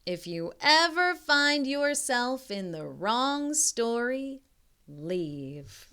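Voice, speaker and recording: A woman reading a children's picture book aloud in an expressive, teacherly way, with chatty asides to her listeners. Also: a little high, very slow, clean tone.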